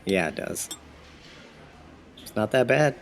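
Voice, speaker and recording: An actor playing a calm, reasonable young man in an audio drama, laid-back and dryly deadpan. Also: mid-range voice, slow pace, a little hiss or hum. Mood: calm, wry.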